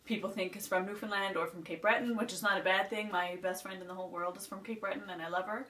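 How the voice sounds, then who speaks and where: A woman's voice: medium pitch, steady tone, fast talker, a bit bright. A young woman from Nova Scotia with a Nova Scotian twang, rambling chattily through a list in a casual beauty vlog. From Nova Scotia, Canada. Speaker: beauty vlogger. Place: indoors at home, seated. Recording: roomy sound from a distant mic.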